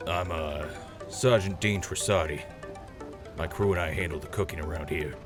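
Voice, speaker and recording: A man plays a proud male army cook in an audio drama interview. His voice is deep, his delivery earnest and matter-of-fact, over a music bed. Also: moderate pace, close to an everyday voice. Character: proud, plain-spoken army cook sergeant.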